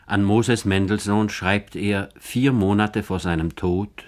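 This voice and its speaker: Low-voiced formal male narrator. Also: steady tone, takes it slowly. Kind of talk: scripted literary radio narration.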